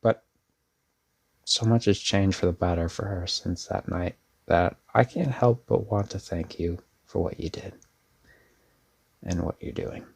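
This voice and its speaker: Guy playing a protective brother in an asmr roleplay, deep creaky voice, sincere n kinda halting, takes his time. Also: a little mellow, full character voice. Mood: sincere, grateful. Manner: hesitant, earnest, with trailing pauses. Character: protective older brother, a laid-back but sincere young man. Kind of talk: ASMR audio roleplay, intimate one-sided dialogue.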